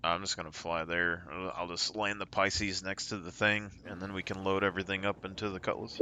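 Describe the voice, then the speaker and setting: A man's voice: sits low, little pitch movement, brisk, bright, forceful tone. A man streaming a game, chatting casually with a friend in an easygoing, rambling way. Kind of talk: casual gaming chat. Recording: noisy game audio behind. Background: game sounds and ship ambience.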